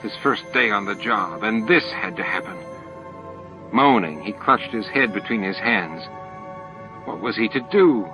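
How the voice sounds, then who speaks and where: A man's voice: on the low side, lively intonation, a little slow. A man reading scripted dramatic narration as an audiobook narrator. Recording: dull lo-fi tape with music.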